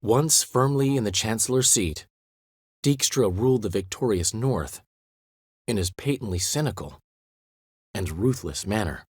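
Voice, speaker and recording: A man's voice: fairly low pitch, lively intonation, measured pace, pauses often, breathy edge, no room sound, clean studio sound, heavily acted. An actor voicing a vain, witty male bard in a video game, speaking in flamboyant, florid phrases. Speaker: game character. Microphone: dry studio recording.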